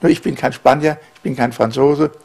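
A German man in his sixties, a journalist, giving a halting, emphatic interview answer with many fillers in a living room. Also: medium pitch, fairly even intonation, vocal fry, darkish tone, breathy edge.

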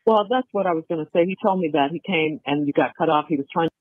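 A woman's voice: low-pitched, quick pace, dry recording. A woman in a casual phone conversation, heard through a tinny recorded telephone line. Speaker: caller talking with a prisoner.

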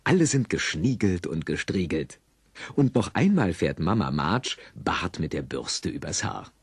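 A man narrating an audio play with expressive storytelling and a husky voice. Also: deep voice, a little slow, dry recording.

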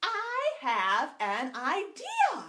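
A woman voicing an excitable little male rabbit in a read-aloud picture-book story, with a squeaky, urgent, eager voice. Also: very slow, roomy sound, full character voice. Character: excitable small male rabbit with a big idea.

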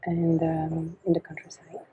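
Woman, from Belgium, dark mellow tone, flat delivery, reflective, many fillers, lapel mic. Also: deep voice, unhurried, breathy edge, lo-fi sound. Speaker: podcast guest. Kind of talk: casual interview talk. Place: covered porch outdoors in the tropics.

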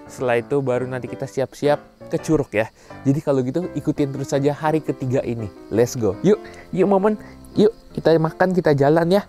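A man's voice: mid-range voice, fairly quick, mellow, soft background music. A young man narrating a casual travel vlog outdoors, chatty and upbeat, talking to his viewers. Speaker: vlogger. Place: outdoors at a waterfall resort.

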